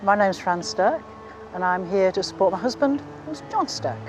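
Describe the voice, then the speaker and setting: A woman's voice: mid-range voice, animated, normal speed, a little mellow, faint music behind. Enthusiastic older woman. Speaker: supporting spouse. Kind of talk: interview answers.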